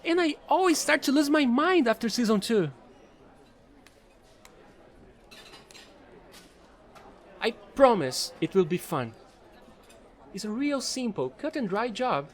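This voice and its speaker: A man's voice: extremely high pitch, big pitch swings, normal speed. A man playing an offbeat, chatty fallen angel space trader in an audio roleplay, rambling playfully to the listener in casual slang. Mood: pleading.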